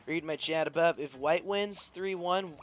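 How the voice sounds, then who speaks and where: A young man casting an esports match and chatting with his stream chat, his voice a bit raspy from losing it. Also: fairly high pitch, a little slow. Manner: rambling, chatty, talks to chat. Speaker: esports caster and stream host. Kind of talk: casual play-by-play commentary with chat. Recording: music playing behind. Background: stream music.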